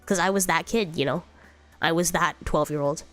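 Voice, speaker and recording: Stuffy-nosed young woman on stream, rambly and giggly, lowish voice, quiet music behind. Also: moderate pace. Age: young adult. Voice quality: slightly congested voice. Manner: rambling, giggly, lots of fillers and restarts. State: congested, sick with a cough. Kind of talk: casual chat reading viewer comments.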